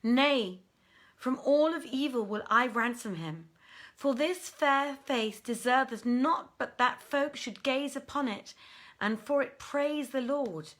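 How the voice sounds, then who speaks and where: Lady doing a commanding genie princess from an old tale, solemn n proud, raised bright voice. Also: sits high, animated, slow, voice pushed up, full character voice. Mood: fervent, protective. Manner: imperious, solemn, archaic formal speech. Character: commanding jinn princess, pious, proud and protective.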